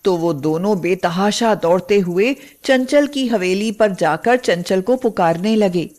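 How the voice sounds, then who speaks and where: A woman narrating a scripted moral story in an expressive, deliberate storytelling manner. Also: medium pitch.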